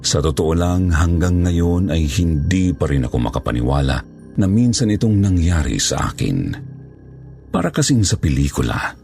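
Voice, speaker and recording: A man with a gravelly, husky voice reading a scripted first-person horror story as its narrator. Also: low voice, sing-song, normal speed, warm tone, faint music behind.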